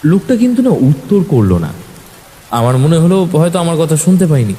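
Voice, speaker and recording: A man narrating a first-person horror story in a suspenseful, expressive, dramatic way. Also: fairly high pitch, a little fast. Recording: faint background noise.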